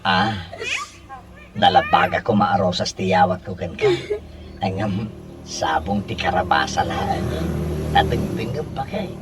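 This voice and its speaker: A man playing a henpecked, wisecracking husband in a comedy radio drama, animated, with an Ilocano accent. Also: low-pitched, unhurried, noisy background. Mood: teasing.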